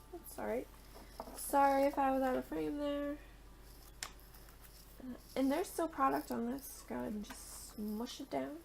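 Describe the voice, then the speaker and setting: A young woman with a raised, gravelly voice talks her way through a crafting demo, chatty and informal. She sounds a little raspy and worn out from a long day, and now and then she drops to a soft murmur as she works. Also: a little high, slight background noise, rough recording. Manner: chatty, thinks aloud while working, drops to a murmur at times. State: tired at the end of a long day. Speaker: art journaling vlogger. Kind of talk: casual crafting tutorial.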